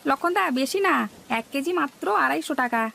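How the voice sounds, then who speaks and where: A woman's voice: high-pitched, expressive, normal speed, vocal fry, bright, forceful tone, heavily acted. A woman voicing a naive, eager male village fish seller in a cartoon, with a questioning, simple-minded manner. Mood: insistent. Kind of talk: cartoon dialogue.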